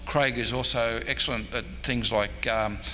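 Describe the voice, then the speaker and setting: A man's voice: mid-range voice, steady tone, moderate pace, sharp tone. An Australian man in his sixties gives an informal talk, rambling along in a hesitant, self-deprecating way. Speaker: historian giving a convention talk. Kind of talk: informal off-the-cuff lecture and audience questions. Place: indoor convention meeting room. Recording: echoey hall, background noise.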